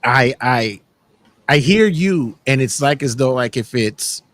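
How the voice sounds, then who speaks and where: A man's voice: mid-range voice, slow. A man hosting a wrestling podcast, chatting casually and often repeating words as he starts a thought. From Puerto Rican family. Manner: animated, repeats words when starting sentences. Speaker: podcast host. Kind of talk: casual chat.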